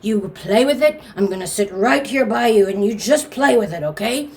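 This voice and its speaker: A woman's voice: medium pitch, expressive, normal speed, a little mellow, pitched below the performer's own voice, close to an everyday voice. A woman voicing an old, possessive but indulgent grandfather clan leader in a folktale, scolding with emphatic repeated refusals. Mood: weary indulgence.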